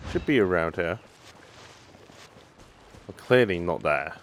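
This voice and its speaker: A game streamer giving casual, low-key let's play commentary, narrating their own actions. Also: lively intonation, slow pace, soft background music.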